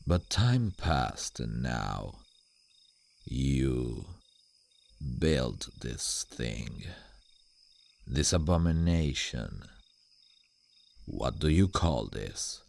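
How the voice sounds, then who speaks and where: An ancient demon guardian for an ASMR roleplay. His voice is extremely deep and crackles with gravel. He is suave, sardonic and condescending, and his intonation rises and dips theatrically as he teases. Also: sing-song, a little mellow, heavily acted. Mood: ominous, disdainful. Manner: slow, deliberate, dramatic, condescending toward humans.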